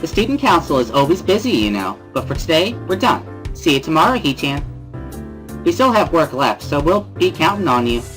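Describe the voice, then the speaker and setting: Visual novel role: loud, bubbly high school girl played by a man, high-pitched, very animated, music underneath. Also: a little fast, rough recording. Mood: exuberant. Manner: exuberant, talkative, boisterous laughing. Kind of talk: amateur dramatic reading of a visual novel.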